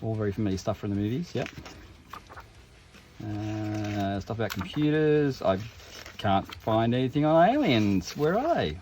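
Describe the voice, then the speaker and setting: A man's voice: lowish voice, big pitch swings, a little slow, a little mellow, a little hiss or hum. A man hosting an unboxing video with casual, informal commentary, full of jokey asides and self-interruptions. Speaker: host of tabletop game unboxing videos.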